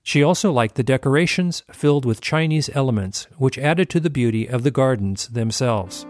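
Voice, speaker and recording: A man reading scripted news narration in an even, measured way. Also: medium pitch.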